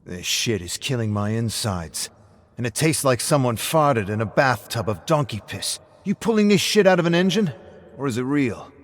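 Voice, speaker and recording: A man's voice: mid-range voice, very animated, normal speed, voice pushed up, natural voice. A man voicing a surly, foul-mouthed, hot-tempered drinker with a blunt, irritable way of talking in an audio story. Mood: disgusted, irritated.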